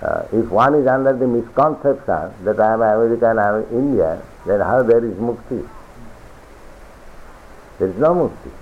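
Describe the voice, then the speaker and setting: A man giving a philosophical sermon as a spiritual teacher, lecturing deliberately, repeating phrases and reciting scripture. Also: lowish voice, expressive, slight background noise. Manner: deliberate, repetitive lecturing with chanted scripture quotes. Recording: tinny lo-fi archival recording.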